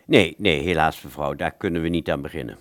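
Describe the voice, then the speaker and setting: A man playing a polite, old-fashioned gentleman in a radio play, formal and hesitant, with small stammers. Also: extremely low pitch, fairly quick, dry recording, clean studio sound. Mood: apologetic, explaining.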